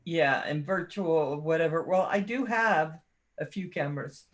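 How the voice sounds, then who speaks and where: A woman chatting casually on a livestream, talkative and quick to tease herself. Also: low voice, dull audio. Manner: chatty, self-deprecating and teasing.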